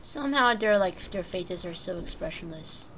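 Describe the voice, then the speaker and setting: A woman from Taiwan streams a game in a casual, chatty way. Her voice sits fairly low for a woman. She swears mildly, and loud game noise runs behind her. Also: animated, normal speed. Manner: mild swearing, chatty with viewers. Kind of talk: casual live gameplay commentary. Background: video game sounds.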